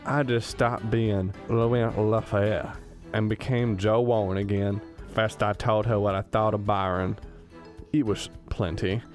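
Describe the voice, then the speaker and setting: A man's voice: medium pitch, close to an everyday voice. A man playing a cranky, rueful old professor in a comedic radio drama, grumbling in a plain, folksy, self-deprecating way. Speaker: student radio drama actor.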